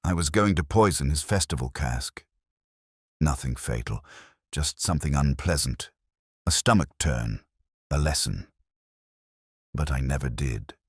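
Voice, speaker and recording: A man's voice: extremely low pitch, lively intonation, unhurried, long pauses, husky, no echo, clean studio sound, full character voice. A man voicing a composed, bitter middle-aged woman who speaks in a cool, cutting way. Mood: controlled.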